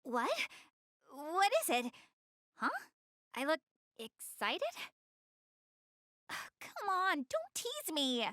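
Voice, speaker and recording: A woman playing a proud, self-conscious young goddess girl in an anime-style game, expressive, easily flustered and earnest. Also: high-pitched, extremely slow, long pauses, no room sound, clean studio sound, full character voice. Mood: flustered, defensive.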